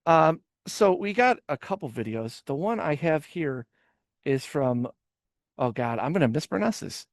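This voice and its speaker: A man's voice: on the high side, big pitch swings, unhurried, dry recording, studio quality. A man hosting a casual reaction podcast, rambling with stammered restarts and swearing. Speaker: podcast host.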